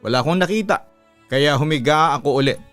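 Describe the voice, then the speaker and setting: A Filipino man narrating a scripted horror story as a storytelling host, in a measured, deliberate way with drawn-out words. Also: a little high, a bit bright, no echo. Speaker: radio announcer and storyteller, host. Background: background music.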